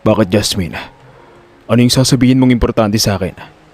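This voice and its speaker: A man playing a calm, serious male confidant in a Filipino audio drama, speaking in a measured, reassuring way. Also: on the low side, close to an everyday voice. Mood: curious, concerned. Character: Rocky, calm serious confidant guarding an old don.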